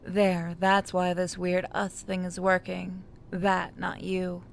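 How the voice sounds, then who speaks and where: A woman voicing a calm, possessive female kidnapper in a horror game, dry and quietly threatening. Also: on the low side, slow pace, gravelly, over loud music. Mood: annoyed, threatening.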